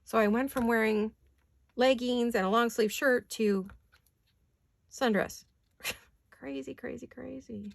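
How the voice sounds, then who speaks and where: Raspy-voiced woman chatting while crafting. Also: on the high side, steady tone, slow pace, long pauses, no echo, clean studio sound. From California. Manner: chatty, talks to herself while crafting, drops into whispers. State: raspy voice from allergies. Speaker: craft vlogger. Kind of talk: casual craft chat while working. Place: home craft studio.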